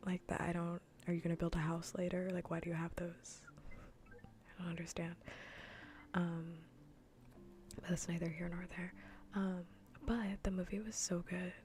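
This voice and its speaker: A woman hosting a livestream, chatting casually in a soft, breathy, whispery ASMR voice and rambling with dry humour. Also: lowish voice, level tone, normal speed, pauses often. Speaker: livestream host. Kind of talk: casual ASMR stream chat.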